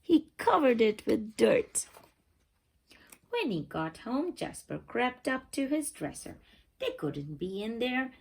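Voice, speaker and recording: A woman reading a children's picture book aloud in an expressive, suspenseful way, stretching key words for drama. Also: on the high side, slow pace, gravelly, husky. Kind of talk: animated children's read-aloud with dramatic whispers and questions.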